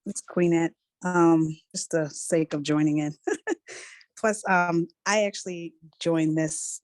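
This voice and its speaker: A middle-aged woman jumps eagerly into an online finance group discussion. She has a very low voice and a dry, close sound. She laughs often between her thoughts. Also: fairly even intonation, takes it slowly, darkish tone, dry recording. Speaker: workshop participant.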